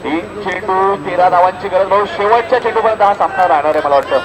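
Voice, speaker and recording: A man's voice: high-pitched, quick pace. A man giving live, informal cricket commentary at a village tournament, often repeating himself and addressing those around him. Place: outdoor cricket ground. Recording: noisy outdoor event with loud crowd. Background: crowd and outdoor ambience noise.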